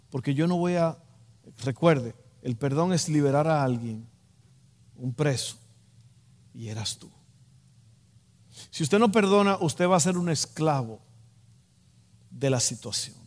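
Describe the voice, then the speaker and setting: A man's voice: a little high, a little mellow. A man with a Dominican Spanish accent preaches a sermon, emphatic and direct, repeating key phrases for weight. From Dominican Republic. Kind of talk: sermon to a congregation. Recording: long hall reverb.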